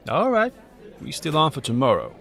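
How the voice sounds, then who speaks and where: A man's voice: on the high side, wildly swooping pitch, moderate pace, gravelly, fairly bright tone, a faint crowd, exaggerated voice. A man playing a needy, suspicious teenage boy in an audio drama, slangy and whiny. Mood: suspicious, hurt.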